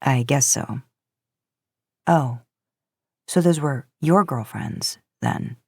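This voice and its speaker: A woman voicing a laid-back, curious young male college student in a story, quiet and understated. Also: rumbling low, expressive, takes it slowly, gravelly, slightly rough, no room sound, clean studio sound, pitched below the performer's own voice, full character voice.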